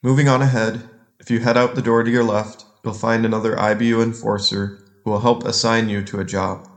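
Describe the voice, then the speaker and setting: Man acting a low-voiced, composed government enforcer. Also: level tone, a little fast, lightly acted. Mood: official, controlled. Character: composed, officious government enforcer of a dystopian union. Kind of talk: audio drama acting.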